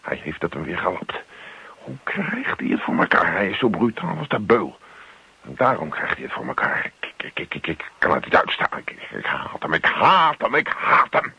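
An actor playing a querulous, stammering, put-upon husband in a radio comedy play. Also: male voice, low-pitched, big pitch swings, normal speed, gravelly, bright, forceful tone, husky, exaggerated voice. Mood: muttering resentment. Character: whining, put-upon husband fuming about his borrowing neighbour. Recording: muffled old radio play recording.

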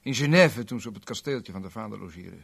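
Sadistic cocky crook, husky, wildly varied intonation, gloating, vintage radio drama. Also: male voice, on the low side, expressive, heavily acted. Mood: nonchalant. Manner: taunting, theatrical, drawn-out phrasing. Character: cocky, sadistic male villain, insolent and gloating. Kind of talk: 1970s Dutch radio drama acting.

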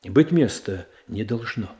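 An actor playing a stern, ambitious statesman in a classical verse radio drama, speaking in a deliberate, declamatory manner. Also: on the low side, animated, dark tone. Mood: driven, forceful. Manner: deliberate, weighty, declamatory delivery. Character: stern, ambitious, calculating statesman brother of the Tsarina. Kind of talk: classical verse tragedy in a Russian radio play.

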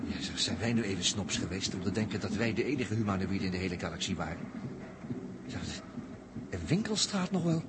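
Jittery sarcastic captured astronaut, chatty and stammery, old radio play, clearly noisy. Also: male voice, sits low, lively intonation, a little fast. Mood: bewildered. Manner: colloquial, hesitant, stammering repetitions, sardonic. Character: wry, jittery male astronaut taken prisoner. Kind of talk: 1960s Dutch radio play acting.

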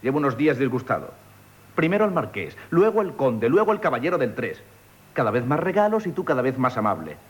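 Sulky jealous waiter, animated, husky. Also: male voice, medium pitch, a little fast, highs cut off. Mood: jealous, hurt. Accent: Castilian Spanish. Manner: plain-spoken, aggrieved, grumbling. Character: jealous, sulky waiter in love with his mistress. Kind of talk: theatrical radio comedy acting.

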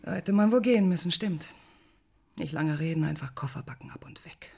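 An actress playing a resigned, wistful woman in a German radio drama, with a husky, breathy voice. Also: low-pitched, lively intonation, fairly quick, warm tone, heavily acted. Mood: bitter, resigned.

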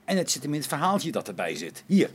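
A man's voice: fairly high pitch, sing-song, fast, breathy edge. A man playing a grumbling, sarcastic space-station technician in a radio play, complaining with exasperation. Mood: impatient. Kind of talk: Dutch radio play acting.

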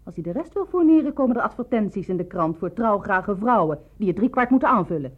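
A lonely, bereaved character who probes and insinuates coolly in a radio play. She speaks in measured, clipped phrases with a husky tone. The sound is thin and boxy, as if down a telephone. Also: medium pitch, expressive. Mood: cool, insinuating. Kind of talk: radio drama acting. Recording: narrow, dull lo-fi radio play recording.

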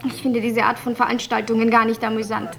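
A woman's voice: a little high, little pitch movement, fast, vocal fry, fairly bright tone. An actress playing a flippant, kindly young lady in her twenties with light, teasing manners in a German radio drama. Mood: light, amused. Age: 20s.

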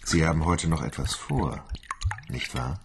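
A man's voice: very deep voice, unhurried, gravelly, noisy background, heavily acted. An actor playing a naive, childlike grown man who earnestly repeats his girlfriend's sayings. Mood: dreamy. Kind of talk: radio play acting.

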